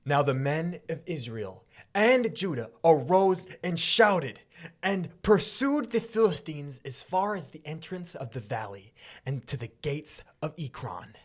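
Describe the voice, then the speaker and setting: A man co-hosting a podcast, speaking in an expressive, informal way with a theatrical streak. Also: a little high, a little slow. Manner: slangy, expressive, theatrical when reading. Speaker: podcast co-host. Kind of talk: casual podcast chat with animated Bible reading.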